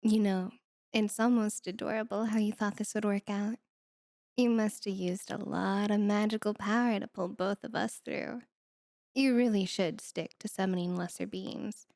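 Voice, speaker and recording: A woman's voice: medium pitch, fairly even intonation, moderate pace, gravelly, a little mellow, dry recording, studio quality, close to an everyday voice. A woman voicing a sardonic, teasing female demon with a condescending, flirty manner in an ASMR roleplay.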